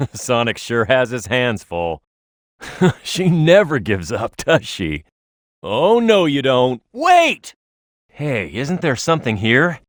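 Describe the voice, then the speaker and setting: A man's voice: medium pitch, dry recording, studio quality. A man voicing a tough, hot-headed young echidna hero in a video game, speaking emphatically and with cocky confidence. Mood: cocky, relieved, then excited, urgent, then curious, alert. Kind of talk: video game character voice lines, tutorial hints and battle shouts.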